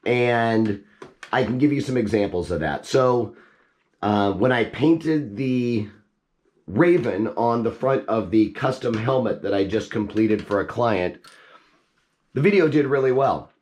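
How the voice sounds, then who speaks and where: Hoarse man chatting while tinkering. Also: medium pitch, animated, measured pace, a little mellow. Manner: rambling, with frequent restarts and asides. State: hoarse from a cold. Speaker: YouTube host. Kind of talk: casual chat while tinkering.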